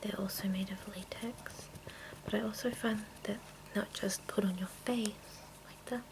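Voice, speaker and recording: A woman hosting an ASMR video in a breathy whisper, chatting casually and playfully as she shows off her things. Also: medium pitch, fairly even intonation, normal speed, noisy background. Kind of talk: whispered ASMR, casual show-and-tell of makeup tools.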